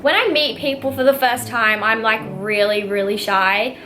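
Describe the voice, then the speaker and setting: A woman's voice: on the high side, moderate pace, sharp tone, slight background noise. A nineteen-year-old Australian woman answering viewer questions in a casual vlog, giggly and bubbly, full of "like" and fillers. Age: young adult, nineteen. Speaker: vlogger. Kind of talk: casual Q&A to camera.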